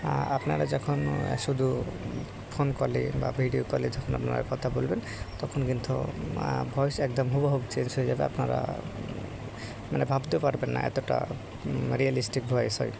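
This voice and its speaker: A man with a Bangladeshi accent gives an informal, halting tutorial as a vlogger, recorded on a phone. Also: mid-range voice, creaky. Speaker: vlogger demonstrating an app. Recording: noisy phone recording, steady background noise.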